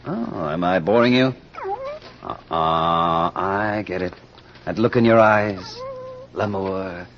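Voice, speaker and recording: An actor playing a wry, musing amateur sleuth in a 1940s radio mystery, talking in a conversational way. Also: male voice, low voice, slow pace. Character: wry, musing amateur sleuth narrating his own case. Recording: noisy, dull old radio recording.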